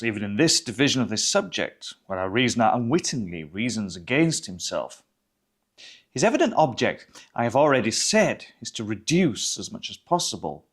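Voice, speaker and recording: A man gives a dramatic literary reading in a formal, measured way. His mid-pitched voice moves widely up and down, stressing each point of the argument with emphasis. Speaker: reader of a short story.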